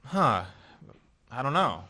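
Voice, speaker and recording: A man playing a deadpan drifter. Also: medium pitch, slow, breathy edge, heavily acted. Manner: dry, deadpan wit. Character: dry-witted drifter, former security man. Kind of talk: radio play acting.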